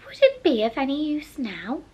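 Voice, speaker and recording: A woman's voice: high-pitched, huge pitch swings, normal speed, mellow. A woman voicing a polite, curious young girl in a storybook reading, chattering on eagerly.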